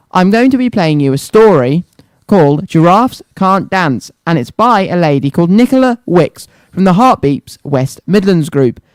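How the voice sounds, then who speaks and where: A man hosts a children's radio show. His voice is quite high, clean and smooth, recorded close and dry with little room sound. He addresses his young listeners directly, often repeating himself and putting questions to them. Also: darkish tone, no room sound. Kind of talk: scripted-sounding live radio presenting to children.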